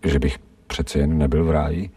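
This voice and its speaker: An actor voicing a streetwise stray dog musing to himself in slangy, wry, awestruck radio drama monologue, with a gravelly voice. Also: male voice, very deep voice, fairly even intonation, dark tone. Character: streetwise stray male dog narrating his thoughts, wry, awed.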